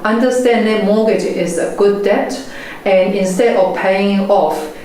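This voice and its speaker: A woman in her forties, a native Chinese speaker with a Chinese accent, giving a measured, earnest motivational talk as a property investment mentor. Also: medium pitch, a little mellow. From China. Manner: measured, earnest storytelling delivery. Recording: very echoey room, faint background noise.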